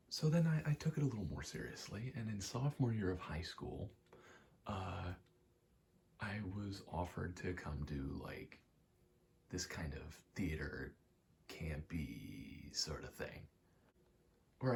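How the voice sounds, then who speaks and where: A young man in a casual, halting ASMR ramble, speaking softly and often slipping toward a whisper, with a self-deprecating, sweary manner. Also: low-pitched, very animated, creaky, slight background noise. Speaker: ASMR creator. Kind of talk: rambling ASMR, casual personal chat while gaming.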